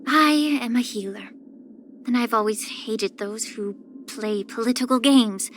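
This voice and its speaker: A woman voicing a poised, soft-spoken, subtly sly young female healer in a video game, with measured, gentle, composed delivery. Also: on the high side, a little hiss or hum, natural voice. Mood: grave, measured. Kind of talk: scripted character voice lines.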